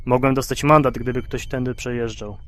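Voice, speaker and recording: A man's voice: medium pitch, fairly even intonation, fairly quick, dry recording, natural voice. A man playing a blunt, grumbling taxi driver in his thirties for an audio drama. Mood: brusque.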